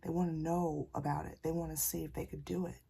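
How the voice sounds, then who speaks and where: A woman sharing a casual spiritual message, talking softly because she is a little sick, in a husky, near-whispered voice and a folksy manner. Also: sits low, flat delivery, fast. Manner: folksy, calls listeners y'all and child. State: slightly sick, keeping voice soft. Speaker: spiritual channeler.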